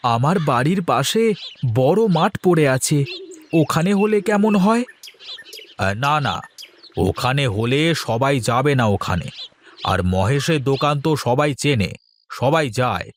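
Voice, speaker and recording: Village man for folktale cartoon, male actor: slightly high pitch, unhurried, theatrical, drawn-out lines. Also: lively intonation, a little hiss or hum. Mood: eager, deferential, then genial, authoritative. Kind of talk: exaggerated cartoon voice acting.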